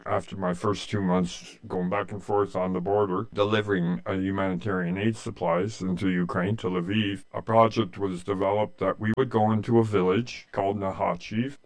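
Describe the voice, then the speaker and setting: Low-voiced Canadian man in his late sixties. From Canada, rural eastern Ontario. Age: late 60s. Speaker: interviewee, humanitarian aid volunteer. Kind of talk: reflective personal storytelling in an interview.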